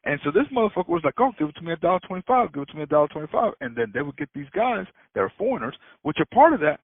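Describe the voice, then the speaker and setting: A man's voice: fairly high pitch, very animated, very fast, gravelly, dry recording. A man, a freight broker, ranting in casual talk on a phone call, swearing a lot and laughing often. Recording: tinny phone line.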